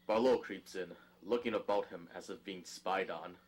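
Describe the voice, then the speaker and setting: A man's voice: low voice, normal speed, slightly rough. A man narrating an audio drama, reading scripted stage directions.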